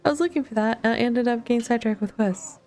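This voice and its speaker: A woman's voice: fairly high pitch, quick pace, a little mellow. A woman streaming a game with casual chat, musing to herself in short fragments over music. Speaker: streamer playing an online fantasy game. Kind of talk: casual gameplay chat, thinking aloud.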